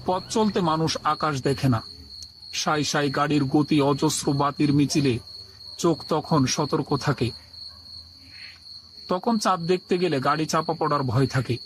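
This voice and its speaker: A man's voice: on the high side, measured pace, pauses often, slight background noise. A man narrating a scripted first-person horror story in a dramatic, suspenseful way.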